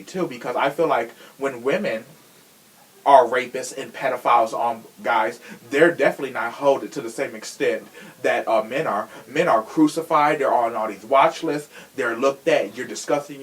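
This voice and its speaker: Male podcast host, mid-pitched, casual and slangy, with sassy, blunt delivery. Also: moderate pace. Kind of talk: casual true crime podcast chat.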